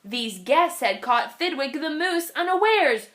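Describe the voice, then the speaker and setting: A woman reading a rhyming children's storybook aloud in an expressive style. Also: high-pitched, measured pace. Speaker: storybook reader.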